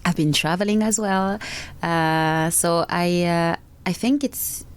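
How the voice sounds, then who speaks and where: A woman with a French accent and a fairly low voice, a native French speaker. She talks earnestly and often circles back to repeat a point, with small laughs between her thoughts. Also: slow pace, smooth. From France. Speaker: actress, guest. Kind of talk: casual interview conversation.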